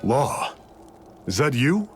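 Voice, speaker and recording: A man's voice: mid-range voice, very animated, slow, faint music behind. A man playing a middle-aged rebel leader and father, steadfast but pained, in a video game. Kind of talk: English video game voice acting.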